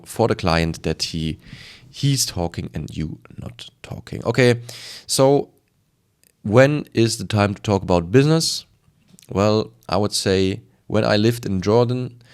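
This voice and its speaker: A man's voice: deep voice, clear, resonant tone. A man with a strong German accent giving informal sales advice to camera, emphatic and repetitive, often acting out short bits of dialogue. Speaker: sales coach presenting a video.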